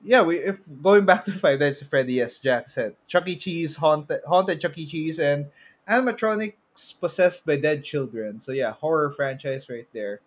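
Higher-voiced Filipino man, rambling casually. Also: moderate pace. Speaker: podcast co-host. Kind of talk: casual chat about films and games.

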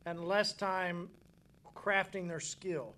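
A man's voice: high-pitched, steady tone, slow pace, bright, forceful tone. A man in his forties hosting a casual chat, keen, asking questions and summing up what his guests say. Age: 40s. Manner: enthusiastic, asks questions and sums up others' points. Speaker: podcast host.

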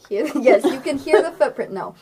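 A woman's voice: sits high, expressive, a little slow, a loud crowd. A playful woman improvising narration as game master in a casual tabletop session. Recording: faint background noise.

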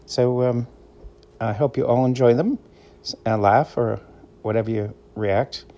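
Gravelly middle-aged Boston man. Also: mid-range voice, fairly even intonation, unhurried, warm tone. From Boston area, USA. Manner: meandering, conversational, easygoing storyteller. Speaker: podcast host. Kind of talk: casual solo podcast monologue.

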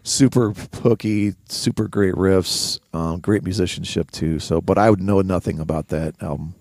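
A man co-hosting a podcast, giving casual album commentary in a halting but enthusiastic manner. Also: deep voice, a little mellow, no echo, studio quality. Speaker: podcast co-host.

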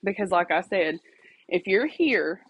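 A woman's voice: fairly low pitch, animated, a little slow, slightly rough, dull audio. A woman, hosting a casual faith podcast from home, chatty and apologetic, laughing often and asking "okay?", her voice stuffy and sniffly. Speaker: podcast host. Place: at home alone.

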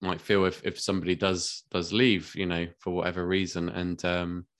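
A man's voice: low voice, level tone, normal speed, a bit bright. A man hosting a podcast interview, asking questions in a hesitant, supportive way with many repeated words. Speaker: podcast host.

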